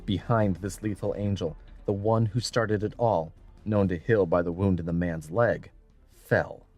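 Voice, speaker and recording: A man narrating an adventure audiobook in a dramatic, measured and solemn scripted style. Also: deep voice, fairly even intonation, a little mellow, soft background music.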